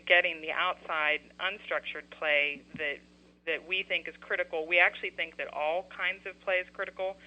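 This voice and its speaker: A woman guest author on a radio interview, explaining her nonprofit's work in an earnest, steady way. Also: fairly low pitch. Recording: dull lo-fi radio audio.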